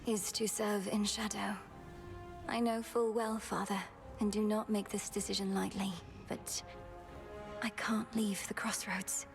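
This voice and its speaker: A dutiful young princess of the underworld, earnest and composed. Her medium-pitched voice has a marked gravelly fry and formal phrasing, with faint music behind. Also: level tone, a little slow, big-room sound. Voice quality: gravelly creak. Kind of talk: video game dramatic acting.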